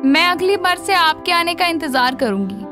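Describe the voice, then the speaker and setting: A woman's voice: extremely high pitch, lively intonation, normal speed, over music. A woman voicing a sweet, polite, conciliatory young daughter-in-law in a cartoon. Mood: placating. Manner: polite, placating.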